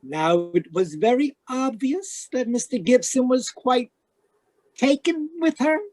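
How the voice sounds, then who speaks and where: A woman's voice: high voice, lively intonation, slow, dark tone, full character voice, low-quality recording. A woman playing a polite, gossipy and easily flustered hotel desk clerk in an old-time radio drama. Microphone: video call.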